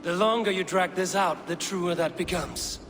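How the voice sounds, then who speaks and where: An actor playing a steadfast Viking warrior leader in a video game, blunt, firm and measured in delivery. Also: male voice, high-pitched, a bit bright, slight background noise. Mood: pressing. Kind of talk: dramatic video game acting. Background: music.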